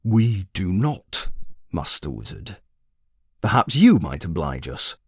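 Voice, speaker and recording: A man voicing a battle-worn army commander in a story, formal and measured, holding back his rage. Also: low-pitched, very animated, a little mellow, husky, no echo, clean studio sound, exaggerated voice. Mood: strained patience. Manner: formal, measured, clipped patience.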